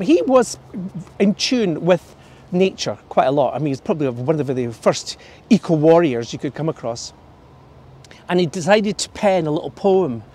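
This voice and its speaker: A man's voice: a little high, a little mellow. A middle-aged Scottish man, a tour guide, telling stories outdoors in a snowy park and reading verse aloud from a folder, filmed by a camera at a distance. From Scotland.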